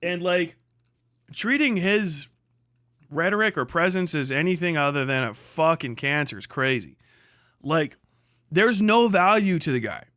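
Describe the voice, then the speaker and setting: Male livestream commentator, slightly high voice, dry close sound. Casual, sweary, blunt and scornful. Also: lively intonation, a little slow, long pauses, bright, forceful tone, no room sound. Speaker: livestream host and political commentator.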